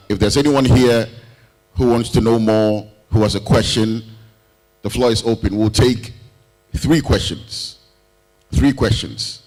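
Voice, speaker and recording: A man moderating an online session, announcing slowly and repeating himself. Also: lowish voice, a little mellow, rough recording. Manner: slow and repetitive, announcing.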